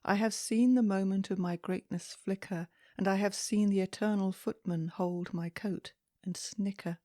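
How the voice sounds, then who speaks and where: A woman reading poetry aloud in a soft, calming, measured way meant for relaxation, with deliberate phrasing and pauses. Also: mid-range voice, dry recording, studio quality. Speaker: poetry reader.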